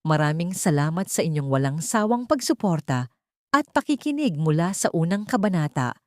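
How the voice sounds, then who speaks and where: A woman with a Filipino accent narrating a scripted dramatic story in a measured, sentimental, literary way. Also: on the low side, big pitch swings, no echo, studio quality.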